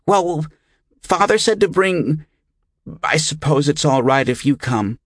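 Audiobook role: man as small village boy, breathless and eager, voice lifted high, urgent delivery. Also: lively intonation, measured pace, no echo, clean studio sound. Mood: doubtful. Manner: urgent, blurting. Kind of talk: audiobook character voice.

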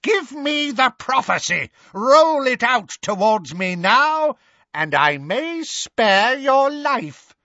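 A woman voicing a fanatical dark witch from a storybook, shrieking and servile, with shrill pleas to her master. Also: big pitch swings, slow pace, no room sound, highs cut off, full character voice. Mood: menacing.

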